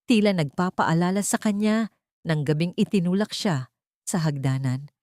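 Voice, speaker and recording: A woman's voice: lowish voice, normal speed, darkish tone, no room sound, studio quality. A woman reading a scripted audio story as a dramatic narrator.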